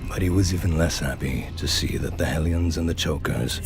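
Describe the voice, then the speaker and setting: A man's voice: rumbling low, fairly quick, creaky. A man narrating game cutscenes in a wry, storytelling manner. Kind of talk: scripted narration. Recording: narration over loud music.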